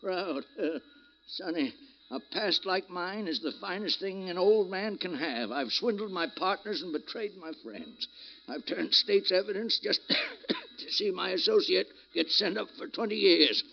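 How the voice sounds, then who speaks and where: A villainous, sickly old man of vintage radio drama. His voice is high and swings wildly as he blusters and gloats, on a muffled old recording. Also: sing-song, measured pace, vocal fry, slight background noise, heavily acted. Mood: gloating. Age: older. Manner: gloating, blustering, drowsy muttering. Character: cantankerous, villainous old sick man, proud of his crimes. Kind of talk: 1940s radio acting.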